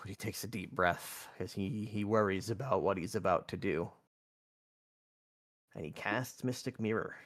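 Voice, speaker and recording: A man's voice: fairly low pitch, unhurried, a bit bright. A man playing a tabletop roleplaying game, casually thinking aloud in a hesitant way. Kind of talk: casual, improvised game play.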